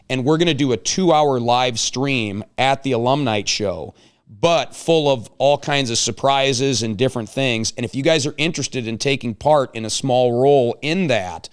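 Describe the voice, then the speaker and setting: A man's voice: medium pitch, moderate pace, smooth. A man hosting a casual talk show, chatting with guests in a playful, joking way. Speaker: show host.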